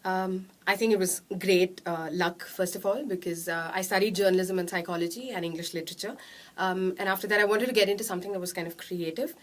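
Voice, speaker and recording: A woman's voice: lowish voice, steady tone. A woman in her thirties with a nasal voice, a radio jockey answering interview questions in a chatty way while seated in a small studio room. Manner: fast, chatty, gestures a lot with her hands.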